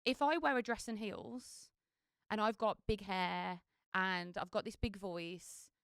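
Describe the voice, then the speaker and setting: A woman's voice: medium pitch, fairly even intonation, a little slow, creaky, a bit bright, clear, resonant tone, dry recording, studio quality. A woman, a wedding singer chatting on a podcast, talking chaotically with lots of fillers. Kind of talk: casual chat.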